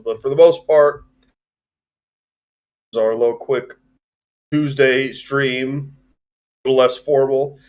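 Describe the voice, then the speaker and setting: A man's voice: mid-range voice, a bit bright. A man co-hosting a live stream in casual, slangy chat.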